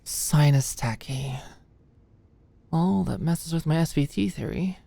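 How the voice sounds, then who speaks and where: A woman's voice: low-pitched, slow pace, creaky, mellow, exaggerated voice. A woman playing an anxious, flustered young female medical student in an intimate ASMR roleplay, shy, hesitant and stammering. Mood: puzzled, awkward. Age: young adult. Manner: stammering, hesitant, muttering asides under breath. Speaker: voice actor in audio roleplay.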